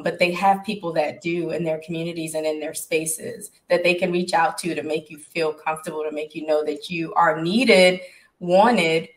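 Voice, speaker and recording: A woman who runs a tech business, talking earnestly as a panelist on a video call from home. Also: lowish voice. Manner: earnest, measured, advocating.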